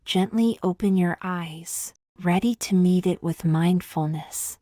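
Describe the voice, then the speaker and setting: A woman leading a scripted guided meditation in a soothing, gentle, measured way, with long pauses and soft, breathy phrase endings. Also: on the low side, dry recording, studio quality.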